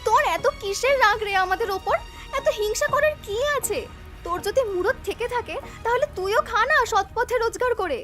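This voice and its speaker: A woman voicing a feisty small shopkeeper in a village cartoon, pleading and quick to protest. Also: extremely high pitch, a bit bright, faint music behind. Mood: pleading, defiant. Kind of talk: cartoon dialogue.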